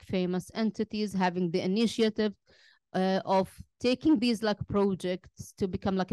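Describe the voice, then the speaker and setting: A woman's voice: mid-range voice, a little mellow, clear, resonant tone, no echo. Hesitant woman. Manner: hesitant, many fillers and restarts. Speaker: panelist. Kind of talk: conference panel discussion. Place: conference room.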